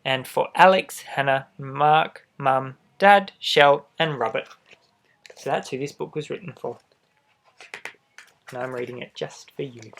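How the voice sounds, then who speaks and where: A man reads a picture book aloud to young children in a gentle, expressive way, adding little asides straight to the listener. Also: mid-range voice, measured pace, creaky. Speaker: storybook reader for children.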